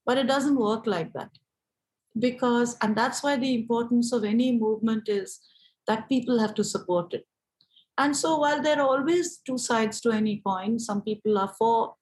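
Higher-pitched Indian woman, measured and thoughtful. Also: a little mellow, low-quality recording. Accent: Indian English. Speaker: activist being interviewed. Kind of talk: reflective interview conversation.

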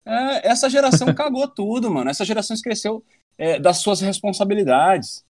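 A man's voice: high-pitched, lively intonation, fairly quick, a little mellow, no echo, studio quality. A man in his thirties, a podcast guest, talking at length in a rambling, philosophical way and swearing casually. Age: 30s.

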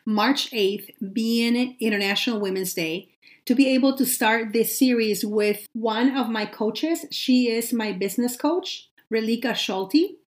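A woman's voice: a little high, measured pace. Upbeat woman podcast host. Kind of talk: podcast interview.

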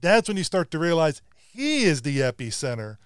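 A man's voice: fairly high pitch, sing-song, normal speed, smooth, no echo, clean studio sound. A man co-hosting a film podcast, talking in an enthusiastic, playful way. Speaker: podcast co-host. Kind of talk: casual film discussion.

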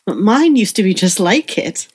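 A woman playing a gentle, wise middle-aged mother in an audio play, speaking in a soothing, earnest way. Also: medium pitch, lively intonation, normal speed, husky. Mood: gentle reassurance. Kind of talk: audio play acting with narration.

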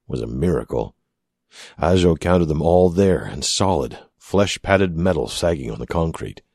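A man's voice: extremely low pitch, a little mellow, breathy edge, no room sound, studio quality. A man narrating a piece of fiction from a script as an audiobook-style reader. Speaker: story narrator.

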